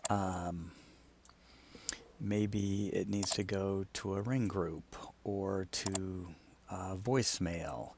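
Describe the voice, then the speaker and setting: A man's voice: low voice, fairly even intonation, very slow, background noise. A man talking casually through an on-screen demonstration, informal and thinking aloud as he goes. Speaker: client explaining a design project. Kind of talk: casual screen-share walkthrough.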